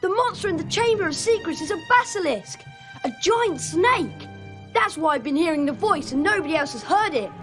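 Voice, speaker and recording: Very high-pitched, excitable child wizard. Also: female voice, normal speed, over music. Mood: excited. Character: excitable, eager schoolchild wizard, about twelve. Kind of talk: video game voice acting.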